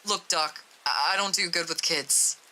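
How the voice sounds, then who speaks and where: A woman's voice: on the low side, a little slow, bright, forceful tone, pitched below the performer's own voice, rough recording. A woman voicing a reluctant, uneasy man in a horror story read-aloud, hesitant and informal. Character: reluctant, uneasy man sent down a dark stairwell.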